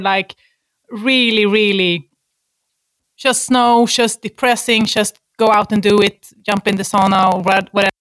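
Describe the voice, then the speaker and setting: A woman's voice: medium pitch, a bit bright, no echo. A woman from Sweden, a podcast host, talking casually and hesitantly with many fillers. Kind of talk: casual chat.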